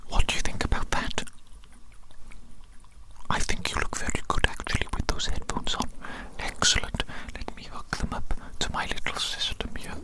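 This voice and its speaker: A woman playing a doting, eccentric evil doctor in a whispered ASMR roleplay, breathy, fussy and affectionate, repeating phrases slowly. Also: natural voice, poor audio. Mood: fussy, reassuring.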